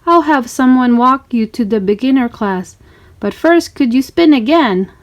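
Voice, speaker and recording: A woman voicing a brisk, encouraging female ballet teacher calling out instructions in a children's storybook. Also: high-pitched, expressive. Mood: kindly. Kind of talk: children's storybook read-aloud.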